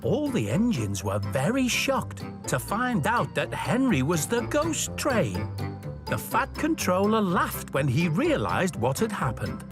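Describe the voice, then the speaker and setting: A man presenting and reading stories for young children, speaking directly to the listener in an animated way. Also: high-pitched, slow pace. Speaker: children's storytime host, station worker character. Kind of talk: children's storytelling, scripted presenting to young viewers. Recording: music behind the voice. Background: background music.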